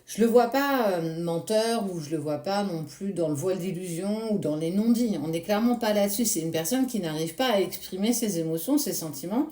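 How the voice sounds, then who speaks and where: A woman, hoarse this morning, giving a casual tarot reading and speaking reassuringly straight to the viewer. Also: medium pitch, expressive, brisk, hardly any pauses, warm tone, echoey room. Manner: addresses viewer directly with soothing reassurance. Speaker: tarot card reader.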